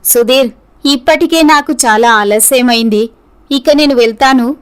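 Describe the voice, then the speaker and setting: A woman playing an earnest young office worker in a troubled romance, speaking deliberately in scripted story dialogue. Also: fairly high pitch, clean tone, close to an everyday voice. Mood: pleading, resigned.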